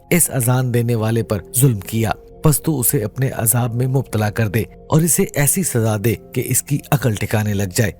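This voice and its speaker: A man's voice: mid-range voice, warm tone. A man reading a scripted religious moral story in an earnest, dramatic way, addressing his listeners directly. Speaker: narrator of religious moral stories. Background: background music.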